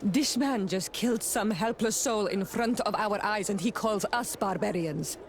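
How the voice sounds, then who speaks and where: A doubting, outspoken companion in a fantasy game. The voice sits high and sounds incredulous, over a faint ambience. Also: male voice, moderate pace, a little hiss or hum. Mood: indignant, scornful. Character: skeptical, blunt companion of a fantasy diplomat.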